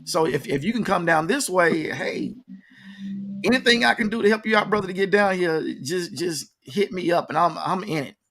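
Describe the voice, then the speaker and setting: A man's voice: sits high, moderate pace, a bit bright. A man hosting a conversational interview, informally addressing his audience like an announcer. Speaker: interview host and promoter. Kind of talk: conversational radio-style interview.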